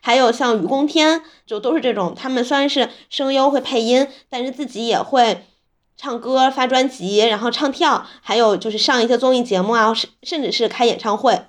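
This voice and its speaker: A woman's voice: on the high side, brisk. A woman co-hosting a podcast, talking casually and informatively in conversation. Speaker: podcast co-host. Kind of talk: casual chat about fan culture.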